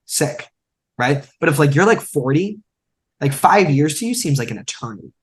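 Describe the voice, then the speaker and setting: A man's voice: on the high side, sing-song, a little fast. A young man in his twenties, a podcast guest speaking emphatically in a coaching, motivational way. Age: 20s. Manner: emphatic and coaching, acts out quotes. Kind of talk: motivational conversation.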